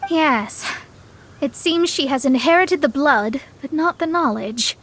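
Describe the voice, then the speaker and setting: A woman's voice: high voice, expressive, measured pace. A woman playing a proud young female astrologer in a video game, speaking in a haughty, formal, precise and easily exasperated way. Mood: disappointed. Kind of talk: video game character acting.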